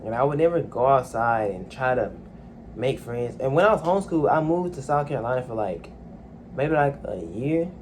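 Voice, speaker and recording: A young man talking casually and haltingly into a phone at home, with a soft, low-key delivery and lots of fillers. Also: lively intonation, a little hiss or hum. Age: young adult. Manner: soft-spoken, hesitant, many fillers. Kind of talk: casual personal monologue. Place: home, alone in a room.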